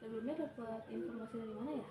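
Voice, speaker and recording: A woman's voice: fairly high pitch, very fast. A young woman playing a counselor in a practice session, speaking hesitantly with many filler sounds. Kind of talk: role-played counseling session. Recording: echoey room, distant mic, noise.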